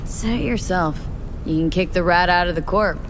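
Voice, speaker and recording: A woman's voice: lowish voice, normal speed, background noise, natural voice. An actress playing a cool, laconic young female mercenary in a video game, speaking in a short, wry, natural way. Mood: wry, unbothered. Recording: music behind the voice.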